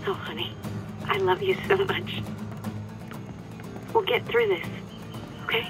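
A woman playing a middle-aged, concerned mother in a video game, speaking gently and with care. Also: medium pitch, very animated, slow, over music. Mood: tender. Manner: gentle, caring. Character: tender, concerned mother of a teenager. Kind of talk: naturalistic video game acting.

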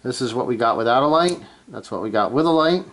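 A man giving a casual, hands-on gear demonstration, halting and unscripted as he thinks aloud from behind the camera in a room at home. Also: mid-range voice, animated, a bit bright, slight background noise. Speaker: amateur radio hobbyist, presenter. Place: small room at home, indoors.

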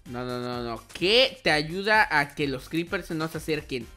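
A man's voice: a little high, lively intonation, measured pace, pushed and bright. A young man doing casual, chatty gaming commentary, playful and talking straight to his viewers. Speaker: host of a gaming channel. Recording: music behind the voice. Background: music.

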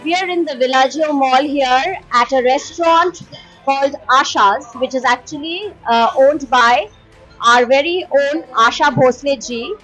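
A woman's voice: sits high, unhurried, a bit bright, faint music behind, low-quality recording. A woman hosting a casual vlog from a busy restaurant, chatty and full of "uh" fillers. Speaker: vlog host.

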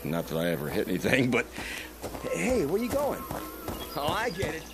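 An actor playing a friendly, chatty small-town neighbor in a radio drama, with a folksy manner. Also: male voice, a little high, huge pitch swings, moderate pace. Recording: classical music playing behind the voice.

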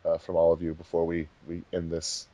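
A man's voice: low-pitched, completely flat delivery, brisk, dark tone, no room sound. A man hosting a commentary track in casual, chatty speech. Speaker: fandub director and commentary host.

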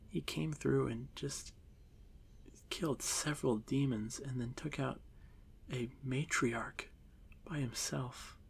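A man voicing a shy, haunted drider monster boy in an ASMR fantasy roleplay, stammering softly and often slipping into breathy whispers. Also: mid-range voice, heavily acted. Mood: subdued, wistful. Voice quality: soft, breathy, creaky.

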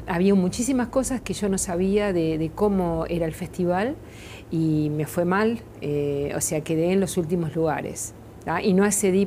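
Hesitant Uruguayan woman, low mellow voice. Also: slight background noise. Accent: Uruguayan Spanish. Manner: hesitant, many fillers and restarts. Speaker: interview guest, makeup artist. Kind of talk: TV studio interview. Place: TV studio set, seated in armchair.

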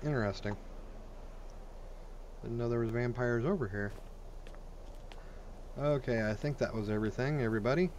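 A man with a mid-pitched voice narrates his own gameplay in a casual, crude, think-aloud way. Clear game noise and ambience run behind him. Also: normal speed, long pauses, darkish tone, dull audio. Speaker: gaming video host. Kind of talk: casual let's play commentary. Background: video game sounds.

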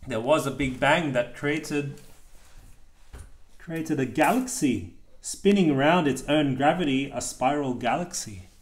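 A man reading a children's storybook aloud as a parent, with casual asides and a deliberate, sing-song delivery. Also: medium pitch.

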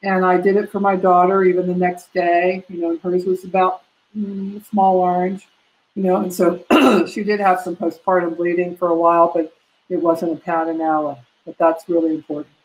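Older woman, massage instructor, live video-call class from home; slightly low voice, chatty and practical. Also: little pitch movement, normal speed, poor audio. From United States. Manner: warm, practical, chatty teaching with many fillers. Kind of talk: live online class answering questions. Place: home room. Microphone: laptop webcam on a video call.

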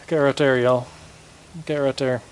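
A man's voice: medium pitch, level tone, normal speed, slight background noise, poor audio. A young man with a Southern American accent, chatting to viewers in a folksy way while fishing from a riverbank at night, recorded on an action camera. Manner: folksy, talks to viewers as "y'all", chuckles often. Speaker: fishing vlogger. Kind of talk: casual outdoor commentary. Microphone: action camera on the bank.

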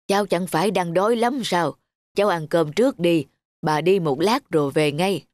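A woman voicing a gentle, patient, kind-hearted grandmother in a cartoon. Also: fairly low pitch, moderate pace, no echo, natural voice. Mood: gentle, caring. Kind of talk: cartoon dubbing.

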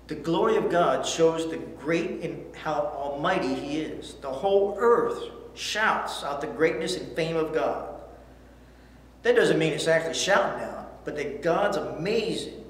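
A man's voice: a little high, expressive, a little slow. A middle-aged man teaching a Bible lesson to children, reading scripture aloud and asking questions in an earnest way. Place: church studio set with backdrop, indoors. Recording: echoey hall, faint hiss.

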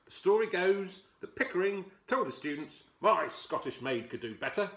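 A man in his forties doing a playful, expressive storytime read-aloud from a children's book. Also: high-pitched, normal speed, a little hiss or hum. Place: furnished living room, indoors.

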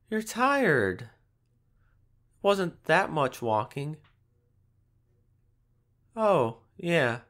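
Young man acting as a playful male fox spirit, unhurried, creaky voice, gentle, affectionate, teasing, boyfriend audio roleplay. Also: high-pitched, heavily acted. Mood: caring. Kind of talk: boyfriend ASMR roleplay, addressing the listener.

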